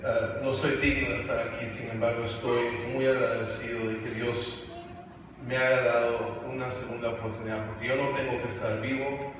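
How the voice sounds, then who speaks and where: A young man raised in Canada giving a halting testimony to a church congregation, full of fillers and restarts. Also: mid-range voice. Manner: hesitant, many fillers and restarts. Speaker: guest speaker on evangelism. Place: large church hall. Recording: echoey hall from far off, noisy.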